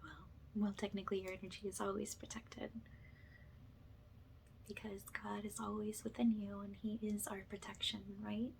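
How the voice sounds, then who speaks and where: A woman with a soft, breathy voice that often drops to a whisper, gently leading a soothing spiritual ASMR session in a reassuring way. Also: medium pitch, level tone, normal speed, a little hiss or hum. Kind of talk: ASMR, soothing guided energy cleanse.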